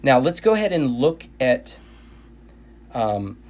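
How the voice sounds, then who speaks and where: A man with a middle-pitched voice that has worn thin and hoarse from recording lecture after lecture. He walks through a math lesson patiently, often restarting and repeating his phrases. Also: big pitch swings, takes it slowly, darkish tone. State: voice tired from recording many videos. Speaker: math teacher.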